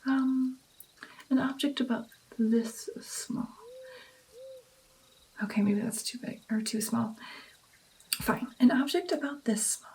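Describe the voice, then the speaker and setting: Female vampire mentor, acted by a woman, ASMR roleplay, breathy whispering, dark soft tone, very slow pacing, doting, playful, encouraging. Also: fairly high pitch, poor audio. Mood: thoughtful, instructing. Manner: slow, coaxing, encouraging, lingering over phrases. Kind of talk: ASMR roleplay, personal attention.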